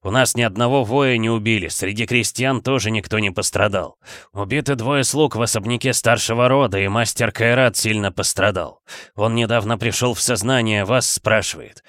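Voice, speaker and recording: A man's voice: fairly low pitch, moderate pace, fairly bright tone, no echo, natural voice. A man narrating gives voice to a dutiful male sergeant in a fantasy tale. He reports to his lord in a deferential, soldierly way. The recording is dry. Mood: reporting.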